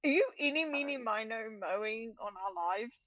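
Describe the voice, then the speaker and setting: High female voice, dry and slightly muffled. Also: lively intonation, moderate pace, dry recording. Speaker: player in a tabletop game. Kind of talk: casual gaming chat.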